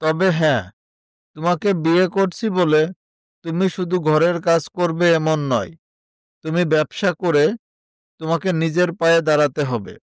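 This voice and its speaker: A man's voice: a little high, normal speed, pauses often, clean tone, dry recording, clean studio sound, lightly acted. A man playing a kind, practical village suitor in a moral story, speaking earnestly and encouragingly.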